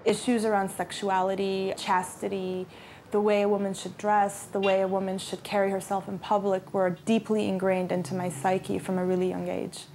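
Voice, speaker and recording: A woman's voice: medium pitch, little pitch movement, moderate pace, a little mellow, a little hiss or hum. Reflective woman from Iran. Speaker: interviewee. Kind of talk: interview.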